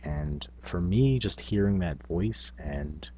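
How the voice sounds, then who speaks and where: A man with a low, slightly rough-edged voice talks casually in the manner of a hobby video blogger. He sounds informal and works out his thoughts as he speaks. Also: expressive, slow, darkish tone, lo-fi sound. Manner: informal, thinking aloud. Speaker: tabletop gaming video blogger. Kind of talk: casual talk to camera.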